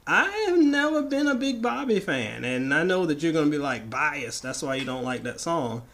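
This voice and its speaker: A man with a slightly raspy voice from the tail end of a flu, bantering emphatically and playfully as an opinionated podcast co-host. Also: fairly high pitch, very animated, moderate pace, fairly bright tone. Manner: playful, emphatic, repeats words for effect. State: getting over the flu.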